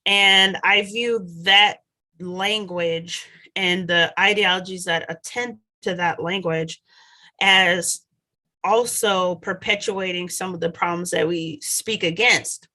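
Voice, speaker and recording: A woman in her thirties, a scholar, explaining her ideas in a measured, thoughtful solo talk over a headset on a video call from her home study. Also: lowish voice, steady tone, bright, forceful tone. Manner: measured, thoughtful, with occasional restarts.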